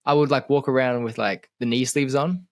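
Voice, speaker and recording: A man's voice: mid-range voice, fairly even intonation, moderate pace, no echo, studio quality. A man in his twenties from Australia, telling stories in slangy casual chat. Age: 20s. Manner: storytelling, slangy.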